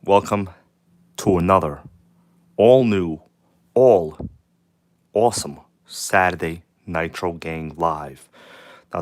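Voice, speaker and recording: A hoarse man with a cold hosts a live stream in a fairly low voice. He speaks, hyped and casual, calling out to viewers as he goes. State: hoarse from a cold, nearly lost voice. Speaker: channel host. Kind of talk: live stream chat about RC cars.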